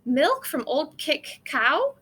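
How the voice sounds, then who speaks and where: A woman's voice: sits high, very animated, slow pace, bright, forceful tone, voice pushed up, heavily acted. A woman voicing a timid, easily scared little child on a grandmother's farm, anxious and emphatic, in a storybook.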